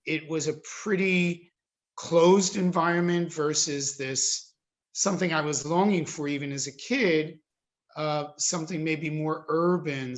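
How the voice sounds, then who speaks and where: An American man in his fifties speaks in a somewhat high voice for a male. He gives reflective interview answers, thinking as he goes, often pausing and restating a word to underline it. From suburban New Jersey, United States. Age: 50s. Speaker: interviewee, Jesuit priest.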